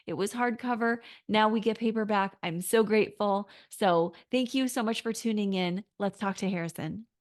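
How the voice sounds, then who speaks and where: A woman hosting a podcast interview, her voice a little raspy, talking in a bubbly, effusive way and laughing often. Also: mid-range voice, normal speed, few pauses. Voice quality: raspy today. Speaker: podcast host.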